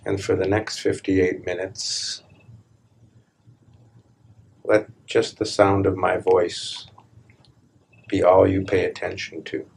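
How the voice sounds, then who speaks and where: A man leading a live guided meditation, speaking calmly and deliberately in short phrases with long pauses between them. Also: sits low, gravelly, slightly rough. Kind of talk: live guided meditation, slow hushed instruction.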